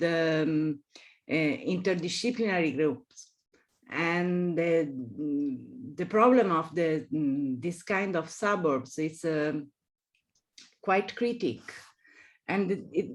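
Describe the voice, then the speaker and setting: A woman with an Italian accent, a native Italian speaker, answering as an academic guest and haltingly searching for her English words. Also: on the low side. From Italy. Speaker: architecture professor, guest lecturer. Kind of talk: academic discussion at a webinar.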